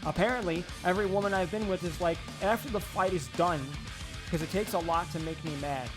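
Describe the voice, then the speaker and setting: A man streaming casual chat, given to teasing, sweary banter. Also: on the high side. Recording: music playing behind the voice. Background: plucked guitar music.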